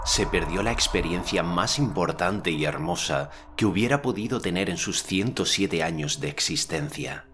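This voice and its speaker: A man narrating an audiobook in a slow, calm, deliberate manner. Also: sits low, slight background noise. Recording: music bed under narration.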